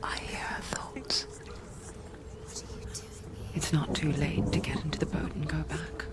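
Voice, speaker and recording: A woman playing a knowing narrator spirit in a video game, confiding slowly and intimately to the listener in a breathy whisper. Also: low-pitched, steady tone.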